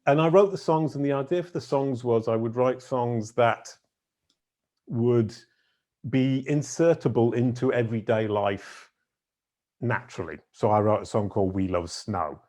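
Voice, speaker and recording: A middle-aged man with a big deep voice, a podcast guest telling long stories in casual conversation, with fillers and self-corrections along the way. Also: normal speed, darkish tone. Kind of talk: casual storytelling interview.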